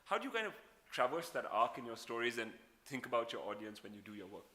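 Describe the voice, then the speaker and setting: A man's voice: mid-range voice, big pitch swings, fast talker, bright, forceful tone. A man moderating a conference panel, asking long, winding questions full of restarts.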